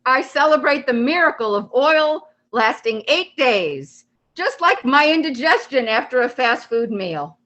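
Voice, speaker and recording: A smug, showy holiday personified on an amateur stage by a woman. The voice is high and bright, boasting slowly and deliberately with lots of swoop. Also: very animated, dull audio, heavily acted. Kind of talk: amateur reader's theater.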